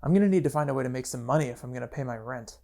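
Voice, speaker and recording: A man's voice: medium pitch, very fast, gravelly, mellow. A man narrating a scripted video essay in a wry, casual storytelling manner, with dramatic touches. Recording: music playing behind voice.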